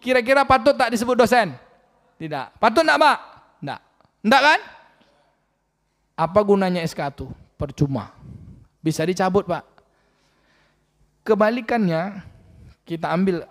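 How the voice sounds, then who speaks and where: A man, preaching a sermon and questioning the congregation directly. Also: high-pitched. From Riau, Indonesia. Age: 40s. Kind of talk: mosque sermon. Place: mosque hall. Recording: long mosque hall reverb.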